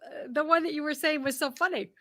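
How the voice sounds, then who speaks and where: Playful high-voiced woman co-host. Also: fairly even intonation, quick pace, crowd noise. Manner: playful, laughs easily. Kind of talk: casual chat.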